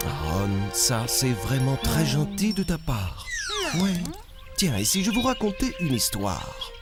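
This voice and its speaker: A friendly grown-up storyteller in a children's cartoon. His voice rises and falls with animation as he tells stories. Music plays clearly underneath. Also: mid-range voice, a little slow. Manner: warm, lively storytelling. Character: friendly adult male host, Kingsley.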